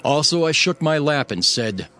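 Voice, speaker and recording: A man's voice: on the high side, animated, normal speed, a bit bright. A man narrating dramatized scripture in the first person, with a solemn, declamatory delivery. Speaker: narrator reading as Nehemiah. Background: music.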